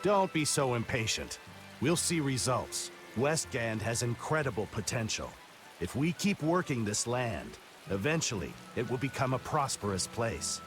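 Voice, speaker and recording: A man's voice: mid-range voice, very animated, normal speed, close to an everyday voice. A man playing a stubborn, hopeful middle-aged farmer and father in a video game, with an earnest, firm delivery. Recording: music behind.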